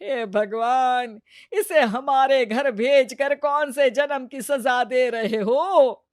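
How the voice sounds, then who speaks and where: A sharp-tongued older mother-in-law in a cartoon, full of sarcastic grumbles. Her voice sits fairly high and sounds dry and close. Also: takes it slowly, no room sound. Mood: grumbling.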